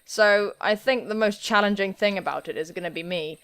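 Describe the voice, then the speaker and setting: A young woman hosting a gaming video with playful, animated, cheeky commentary. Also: medium pitch, a little fast, clean tone. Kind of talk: playful let's-play commentary. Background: music.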